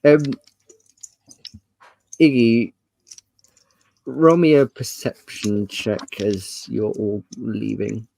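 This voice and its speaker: A man running a tabletop roleplaying game, narrating scenes in a measured, descriptive and polite way. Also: on the low side, vocal fry, a little mellow, rough recording. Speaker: game master.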